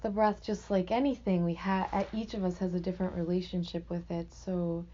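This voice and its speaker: A woman with a soft-edged, dark timbre and a slightly low pitch leads a guided meditation. She phrases things gently and leaves reflective pauses, as a teacher would. The sound is a little dull and lo-fi. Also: flat delivery.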